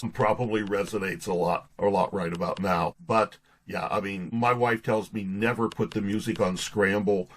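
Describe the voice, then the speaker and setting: Middle-aged male interview guest, lowish pitch, conversational storyteller with a down-home manner. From Pittsburgh, United States. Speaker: guest, pastor and author.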